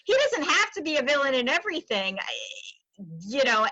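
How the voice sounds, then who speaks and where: Woman, podcast host, very bright voice, chatty and rambling, dry but slightly dull lo-fi recording. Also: high-pitched, very animated, moderate pace, dry recording. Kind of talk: casual conversational interview.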